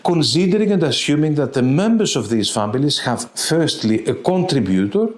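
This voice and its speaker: A man giving a formal, deliberate academic lecture, reading from a script and quoted passages, now and then stumbling over the text. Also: on the high side, animated. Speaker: lecturer presenting an online course module. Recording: roomy, echoing voice recording.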